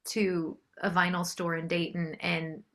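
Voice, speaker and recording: A woman's voice: lowish voice, level tone, unhurried, a bit bright. A woman speaking as a singer-songwriter guest in a casual interview, with an agreeable, easygoing manner.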